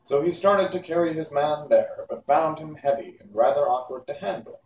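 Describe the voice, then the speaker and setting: A man's voice: fairly high pitch, normal speed, a little mellow, highs cut off. A man reading a children's novel aloud as narrator, in an unpolished amateur way. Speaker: storybook reader. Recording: echoey room, distant mic, faint hiss.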